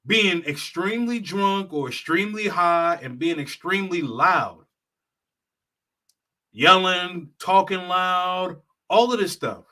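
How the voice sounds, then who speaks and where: A man's voice: high-pitched, pushed and bright. A man who works as a travel consultant, giving a blunt, profane, lecturing monologue straight to the viewer. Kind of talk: heated straight-talk monologue.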